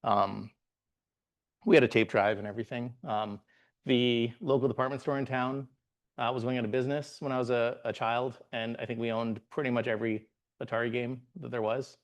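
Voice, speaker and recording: A man in his forties, from the Bahamas, giving a live tech meetup talk as a guest presenter, relaxed and halting with frequent "uh"s and restarts. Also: medium pitch. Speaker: guest presenter, software engineer.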